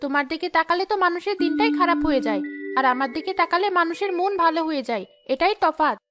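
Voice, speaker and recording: A woman's voice: extremely high pitch, expressive, fast talker, fairly bright tone, soft background music. A woman playing a vain, spiteful young sister-in-law in a melodramatic cartoon, haughty and taunting. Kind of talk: melodramatic cartoon story dialogue.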